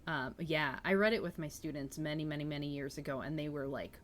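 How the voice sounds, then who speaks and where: A woman's voice: low-pitched, brisk, slight background noise. A woman chatting casually and enthusiastically as host of a book-reading livestream, responding to viewers as she goes. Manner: chatty, enthusiastic, reacting to chat comments.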